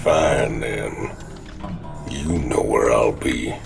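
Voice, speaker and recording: An actor voicing a big, surly, mocking ex-convict outlaw in a video game, with a gravelly drawl. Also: male voice, a bass voice, extremely slow, music in the background, heavily acted. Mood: bitter, gruff. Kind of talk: video game cinematic acting.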